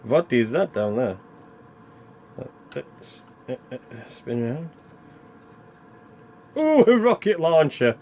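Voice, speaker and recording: A man's voice: a little high, very animated, slow, long pauses, a little mellow, a little hiss or hum. A man hosting a game review with casual, rambling, self-deprecating commentary as he plays. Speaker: video game reviewer and channel host.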